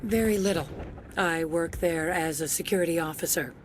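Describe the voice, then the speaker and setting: An actress playing a jaded, resentful security officer in a video game, terse and sardonic. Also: female voice, lowish voice, normal speed, a little hiss or hum, natural voice. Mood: guarded, jaded.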